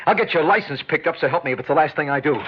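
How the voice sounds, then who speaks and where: A man's voice: a little high, rapid-fire, background noise, lightly acted. A man playing a blunt, grieving trucker. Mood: grieving angry. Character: grieving, angry male trucker. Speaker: truck driver. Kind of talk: 1950s radio drama acting. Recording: dull old radio recording.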